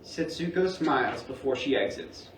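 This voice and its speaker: A man's voice: medium pitch, normal speed. Echoey man reading stage directions. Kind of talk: scripted narration. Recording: echoey, distant mic, faint noise.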